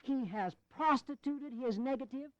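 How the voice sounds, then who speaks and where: A haughty colonial Southern gentleman in an audio drama. The voice is strikingly high, pompous and oratorical, on a dry, slightly muffled recording. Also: normal speed, dry recording. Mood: indignant. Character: haughty, indignant Southern slaveholding colonial delegate. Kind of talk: historical audio drama acting.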